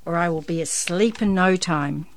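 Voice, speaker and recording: A woman's voice: on the low side, normal speed, dry recording, close to an everyday voice. A woman voicing an eager, polite little boy in a children's story. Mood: determined, sleepy. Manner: eager, wide-eyed.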